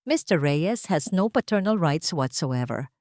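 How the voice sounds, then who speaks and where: A woman plays an authoritative female judge in a courtroom story. She is formal, firm and clear, with a Filipino accent and a dry studio-like sound. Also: low-pitched, expressive, unhurried, darkish tone, no echo. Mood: final, commanding.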